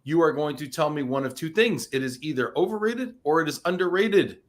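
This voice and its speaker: A man's voice: a little high, brisk. Playful teasing male show host. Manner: frequent restarts and fillers. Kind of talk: live-streamed interview chat, reading viewer comments.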